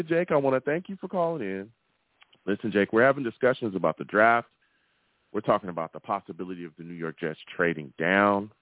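A man's voice: fairly low pitch, no room sound, phone-quality sound. A man hosting a live sports call-in show, talking emphatically and repeating phrases for effect. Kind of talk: animated sports talk with callers.

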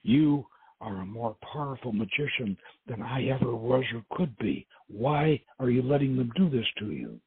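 A man with a gravelly voice reading the part of an earnest ancient magician in an audio novel. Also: medium pitch, very animated, normal speed, no echo, full character voice. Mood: pleading. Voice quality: gravelly, husky. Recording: dull, lo-fi audio.

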